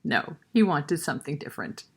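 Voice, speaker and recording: A woman's voice: low-pitched, very animated, fairly quick, breathy edge. A woman hosting a library storytime, reading a picture book and chatting directly to young children with questions. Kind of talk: children's picture-book read-aloud and chat.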